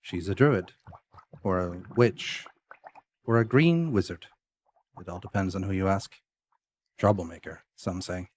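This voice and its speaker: A man's voice: deep voice, a little slow, long pauses, a little mellow. A man narrating a scripted audio drama as its storyteller. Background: music.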